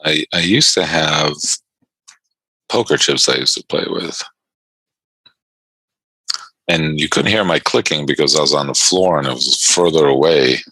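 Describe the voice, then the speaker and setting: A man from Chicago hosting a trading live stream, chatting casually with viewers and laughing often in a quiet room. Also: very deep voice, unhurried, pauses often, husky, dry recording, low-quality recording. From Chicago North Side. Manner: laid-back, laughs often, reads and answers chat.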